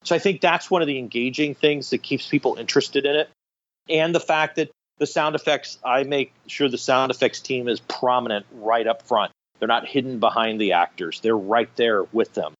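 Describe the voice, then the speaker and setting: Male podcast guest, mid-pitched, explanatory and anecdotal, slightly dull lo-fi sound. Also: moderate pace, a bit bright. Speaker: podcast guest, radio theatre director. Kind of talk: conversational interview answers.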